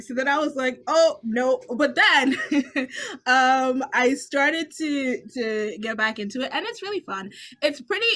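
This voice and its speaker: A woman's voice: high-pitched, pushed and bright. A woman who grew up speaking Dutch, chatting casually as a podcast co-host. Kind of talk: casual chat.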